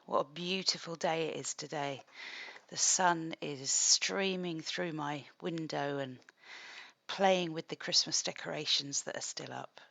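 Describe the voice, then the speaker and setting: Croaky-voiced woman hosting a craft tutorial, low and unhurried, dry close sound. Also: dry recording. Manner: gentle, chatty, unhurried with fillers and asides. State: croaky morning voice. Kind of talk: calm step-by-step craft demonstration.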